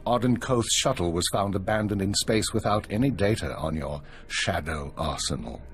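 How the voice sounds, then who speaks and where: An unflappable spymaster acted by a man in a sci-fi game cutscene. His voice is low, and he speaks in a measured, matter-of-fact, authoritative way with calculating control. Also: soft background music, natural voice. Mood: cool, controlled. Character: calculating male intelligence minister, unflappable spymaster. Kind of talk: video game cutscene acting.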